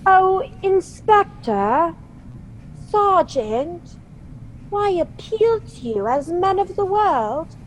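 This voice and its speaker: Genteel widow played by a woman, high swooping voice, slow hesitant delivery, coy and pleading, radio mystery drama. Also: very animated, a little hiss or hum, exaggerated voice, rough recording. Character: genteel, coyly pleading widow with a fragile air. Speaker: actor in audio drama. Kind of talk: old-time radio mystery acting.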